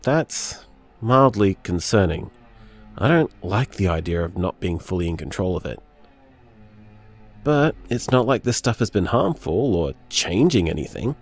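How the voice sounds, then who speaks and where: Low-voiced, easygoing loving man. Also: very animated, unhurried, soft background music, full character voice. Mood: thoughtful, reassuring. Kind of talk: intimate audio drama voice message.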